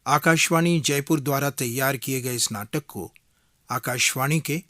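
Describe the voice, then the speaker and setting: A male radio announcer reads formal broadcast copy. He is measured and unhurried, with a mid-range voice. The sound is close and dry. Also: medium pitch, sharp tone, no echo. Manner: measured, deliberate.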